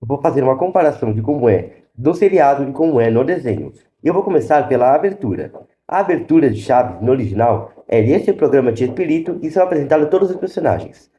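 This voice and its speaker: A man's voice: medium pitch, big pitch swings, fast talker, warm tone, highs cut off. A Brazilian man hosting a casual review video, explaining his opinions directly to viewers. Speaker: YouTube host. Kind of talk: casual review commentary to camera. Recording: roomy, echoey sound.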